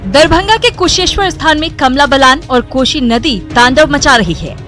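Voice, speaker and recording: A woman with a high voice reads a scripted news report in a measured, formal manner. Soft music runs faintly under her studio voice-over. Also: lively intonation.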